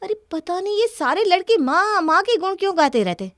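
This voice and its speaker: A woman's voice: very high voice, expressive, a little fast, a bit bright, dry recording, studio quality. A woman voicing a spoiled, defiant young wife in a cartoon story, arguing in a dismissive, indignant way. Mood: irritated. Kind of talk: Hindi cartoon story dialogue.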